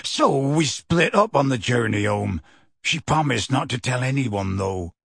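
A man's voice: mid-range voice, animated, gravelly, a bit bright, husky, dry recording, clean studio sound, full character voice. Man playing a big, earnest, rustic half-giant. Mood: anxious, confiding. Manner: earnest, halting, rustic dialect grammar. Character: huge, kindly half-giant man, emotional, pleading, rustic. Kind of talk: audiobook character voice.

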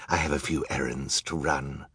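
A man's voice: extremely low pitch, steady tone, normal speed, a bit bright, husky, no echo, studio quality, pitched below the performer's own voice, full character voice. A man voicing a scheming, menacing villain count in an audiobook, gloating and theatrical. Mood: sly. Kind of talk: audiobook character acting.

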